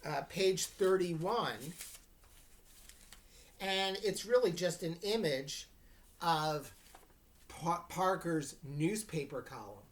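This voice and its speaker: A woman with a low voice teaches an informal reading lesson. She speaks slowly and explains as she goes, checking that her students are following along. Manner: unhurried, explanatory, checks understanding. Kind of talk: informal read-aloud lesson.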